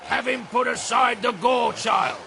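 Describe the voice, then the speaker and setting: An actor playing a savage, scheming warlord in an audio drama, commanding and taunting in a strained gravelly rasp. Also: male voice, very high voice, steady tone, normal speed, background noise.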